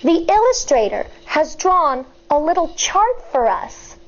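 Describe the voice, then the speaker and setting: An American woman, a schoolteacher reading a children's book aloud in a deliberate, instructive way, explaining as she goes. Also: high-pitched, sing-song, lo-fi sound.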